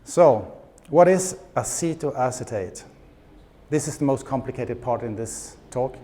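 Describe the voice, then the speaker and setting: A man's voice: mid-range voice, expressive, measured pace, mellow. A middle-aged man from Sweden with a Swedish accent, giving a conference talk in a hesitant way, with frequent fillers, restarts and dry humor. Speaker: conference speaker. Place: conference stage.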